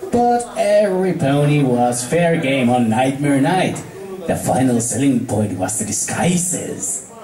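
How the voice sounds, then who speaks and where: A man with a Finnish accent reading a story aloud to a group as an amateur, stumbling over words and rereading them. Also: mid-range voice. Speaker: amateur fan fiction reader. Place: echoey room at a fan meet-up. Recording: echoey room with background crowd.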